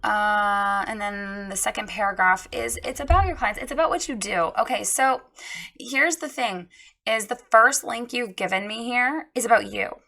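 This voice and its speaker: A woman with a hoarse, froggy voice giving a frank, chatty critique of a website, with many ums and restarts. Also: medium pitch, normal speed, pushed and bright, rough recording. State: hoarse, recovering from lost voice. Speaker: website reviewer giving feedback. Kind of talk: casual spoken critique.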